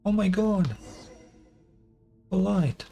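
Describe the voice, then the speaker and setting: A man's voice: high voice, extremely slow, creaky, music in the background. A man giving casual, terse reaction commentary as a game streamer, with offhand interjections.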